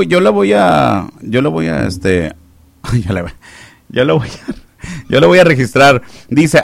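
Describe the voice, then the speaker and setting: A man's voice: fairly high pitch, creaky, no echo. A man hosting a call-in radio show, chatting and teasing callers in a joking way. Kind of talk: casual call-in radio banter. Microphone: studio microphone.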